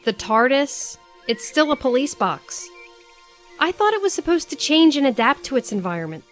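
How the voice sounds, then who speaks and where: An actress playing a curious young travelling companion in an audio drama, with an inquisitive manner. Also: female voice, high voice, sing-song, normal speed, faint music behind. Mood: questioning.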